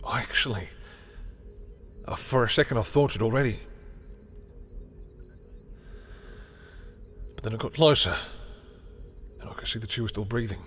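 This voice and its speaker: Man acting a lovestruck, bitter villain, halting and emotional. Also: lowish voice, a bit bright, husky, soft background music. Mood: anguished. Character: lovestruck, bitter male villain confessing to a rival. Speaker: audio roleplay voice actor. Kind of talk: intimate audio roleplay drama monologue.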